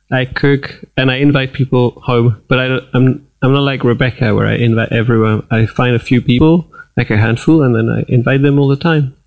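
Guy, gravelly voice, pretty blunt. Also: mid-range voice, a little mellow, poor audio. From France. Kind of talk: casual conversation.

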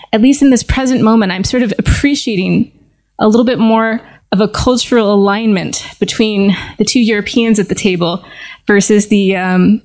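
A woman hosting a casual conversation at a table with friends, talking thoughtfully and pausing with fillers. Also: medium pitch, a little mellow. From United States. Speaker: podcast host. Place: seated at a table with others.

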